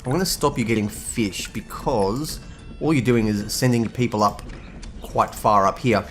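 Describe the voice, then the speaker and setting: A hungover, husky-voiced man, rambling casually as he comments on his own gameplay. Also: fairly low pitch, moderate pace, fairly bright tone, faint music behind. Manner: rambling, thinks aloud. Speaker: gaming video host.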